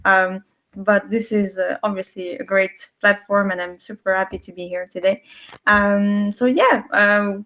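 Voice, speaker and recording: A woman's voice: medium pitch, fairly even intonation, unhurried, fairly bright tone. A woman guest on a video call, speaking cheerfully and gratefully, with frequent small laughs. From French-speaking region. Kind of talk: casual interview talk.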